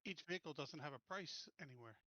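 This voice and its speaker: A man's voice: on the high side, fast talker, muffled recording, crowd noise. A man taking part as a player in a tabletop game, chatting casually.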